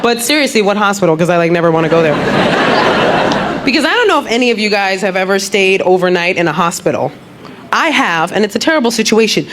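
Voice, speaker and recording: A woman doing animated stand-up comedy at a club, often slipping into mimicked voices and laughing along. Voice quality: deep voice. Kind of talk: stand-up crowdwork set. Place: comedy club stage with live audience. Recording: loud crowd laughter, club noise.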